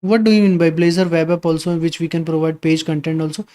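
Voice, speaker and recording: A man's voice: on the high side, fairly even intonation, fast, warm tone. A man hosting a live coding stream, reading viewer questions aloud and answering them in a casual, conversational way.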